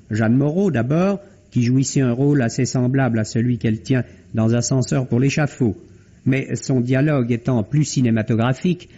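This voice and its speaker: Dark-toned man, formal vintage radio critic. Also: medium pitch. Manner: articulate, formal, literary phrasing. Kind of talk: 1950s French radio film review, formal delivery. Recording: dull, band-limited vintage radio recording.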